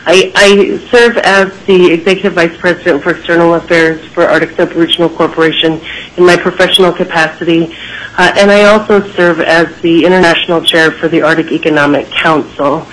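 A woman's voice: lowish voice, fairly even intonation, a bit bright, low-quality recording. Stuffy-nosed woman giving formal testimony. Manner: measured, frequent "uh" pauses while listing names. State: stuffy nose from a sinus infection. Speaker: corporate executive testifying to a legislature.

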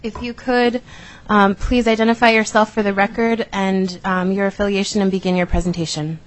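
A woman's voice: medium pitch, flat delivery, brisk, low-quality recording. A woman chairing a legislative committee hearing, running procedure with frequent fillers.

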